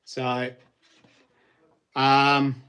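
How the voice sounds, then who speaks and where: A man's voice: mid-range voice, completely flat delivery, very slow, pushed and bright, clean tone. A middle-aged man with a New Zealand accent, hosting a casual livestream and chatting with viewers in a rambling, slangy way with frequent laughs. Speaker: livestream host.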